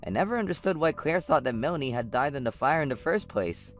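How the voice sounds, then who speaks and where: A woman voicing a betrayed young husband and father who narrates an animated story, indignant in the arguments and matter-of-fact when recounting. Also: sits low, fast, faint music behind.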